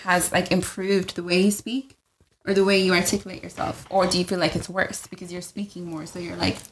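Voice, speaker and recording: A woman's voice: fairly low pitch, level tone, brisk, slight background noise, low-quality recording. A woman co-hosting a podcast in casual chat, rambling and restarting her questions often. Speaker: podcast co-host.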